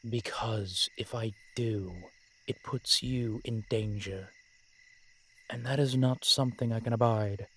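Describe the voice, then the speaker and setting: A man playing a brooding, protective vampire in an audio roleplay, speaking in formal, measured phrases with dramatic pauses. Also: on the low side, fairly even intonation, a little hiss or hum. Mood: tender, sorrowful. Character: brooding, protective male vampire, old-fashioned and frustrated. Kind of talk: scripted audio roleplay acting addressed to the listener.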